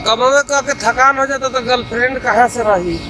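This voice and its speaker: A man's voice: very high-pitched, steady tone, moderate pace, a crowd in the background. A man playing an evasive, boastful husband working abroad, making excuses in a comedy phone quarrel with a Bhojpuri accent.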